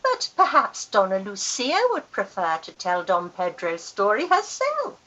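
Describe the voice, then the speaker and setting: A woman's voice: mid-range voice, huge pitch swings, measured pace, sharp tone, dull audio, full character voice. A woman acting a grand, gushing, easily affronted society aunt in a Victorian radio farce, theatrical and emphatic. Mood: gushing storyteller.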